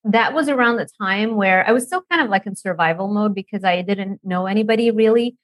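A woman's voice: mid-range voice, fairly quick, no room sound. Chatty woman guest from Lebanon. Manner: chatty, laughs often, many fillers and restarts. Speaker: nutrition professor, guest. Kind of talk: casual interview storytelling.

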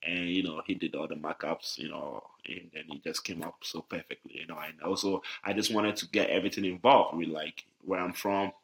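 A man's voice: low-pitched, fairly even intonation, fairly quick, creaky, few pauses, low-quality recording. A young man from Ghana talking easily in a casual podcast interview, with many fillers and laughs. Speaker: guest, jiu-jitsu athlete.

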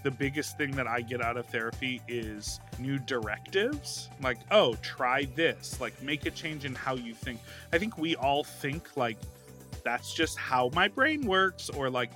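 A man co-hosts a podcast with a bright, forward voice and very lively pitch. His delivery is casual and animated, with some music behind him. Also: measured pace. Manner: jokey, animated. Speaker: podcast co-host. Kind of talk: casual comedic banter and host-read ads.

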